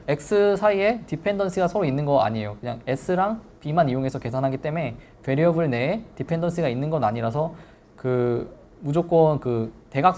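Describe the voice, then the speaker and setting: A seminar lecturer with a mellow, relaxed tone and a medium-pitched voice. The delivery is explanatory and methodical, walking listeners through technical material step by step. Faint noise sits behind the voice.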